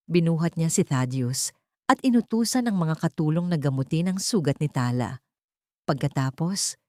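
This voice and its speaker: Woman narrator, low voice, dramatic scripted storytelling, audiobook, very dry recording. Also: a little mellow, no room sound.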